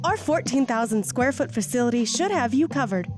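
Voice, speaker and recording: An actress plays a female announcer for an institutional orientation tape in an audio drama. She has a fairly high voice and a polished, saccharine sales delivery with something sinister under it. Music plays clearly behind her. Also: expressive, fairly quick, natural voice. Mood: falsely cheerful. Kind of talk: scripted promotional video narration.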